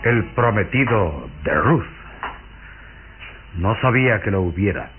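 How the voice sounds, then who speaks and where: An actor playing a suave, sinister vampire count with courtly, insinuating manners in an old radio drama. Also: male voice, fairly low pitch, expressive, slow pace, over music. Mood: probing, intense. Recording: muffled old radio recording, noisy.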